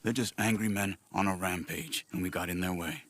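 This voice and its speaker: Calm, low-voiced outlaw. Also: male voice, fairly quick, no room sound. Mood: sober. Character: calm, watchful, loyal male outlaw companion. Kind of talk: video game cutscene acting. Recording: loud music behind the voice.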